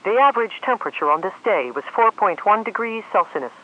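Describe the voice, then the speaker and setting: Lively-pitched synthetic female weather radio announcer. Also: fairly quick. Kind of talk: scripted forecast and climate summary reading. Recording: dull lo-fi weather radio audio.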